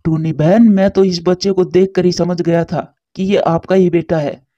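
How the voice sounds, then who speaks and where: A man voicing a kindly, calm grown male bird in a cartoon story, speaking in a reassuring, avuncular way. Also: sits high, moderate pace, no echo, dull audio, lightly acted. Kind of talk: Hindi cartoon story character voice.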